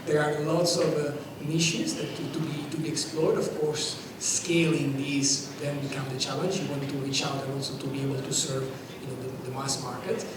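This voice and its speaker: A man with an Italian accent talks through a conference panel at a steady, flat pitch. He sounds eager but leans on fillers. He is heard from a distance in an echoing, noisy hall. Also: moderate pace, few pauses. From Italy. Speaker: fintech panelist. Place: conference stage.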